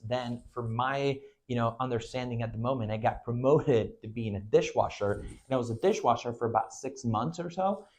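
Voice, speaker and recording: A man's voice: medium pitch, a little mellow. A man from Venezuela in his twenties, an agency owner speaking earnestly in a recruitment video, with frequent "you know" and "right" fillers.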